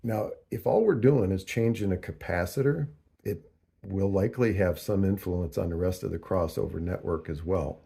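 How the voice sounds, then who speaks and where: A man with a low, creaky voice talks through a do-it-yourself tutorial. His tone is dark and mellow, and he speaks casually and deliberately, pausing as he thinks aloud. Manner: deliberate, halting, working through figures aloud. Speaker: host of a DIY audio tutorial.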